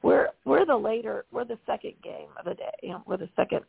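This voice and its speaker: Hoarse gravelly woman on a phone line. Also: on the high side, expressive, fast, dry recording. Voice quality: gravelly, husky. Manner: chatty, laughs often. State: losing her voice. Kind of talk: casual chat on a call-in internet radio show. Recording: tinny dry phone line.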